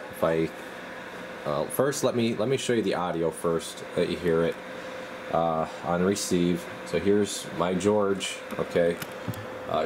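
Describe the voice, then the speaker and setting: A man in a radio workshop, talking casually and haltingly while he tests equipment. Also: deep voice, background noise. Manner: relaxed, halting, thinks aloud while working. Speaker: radio repair technician. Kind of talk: casual hands-on demo.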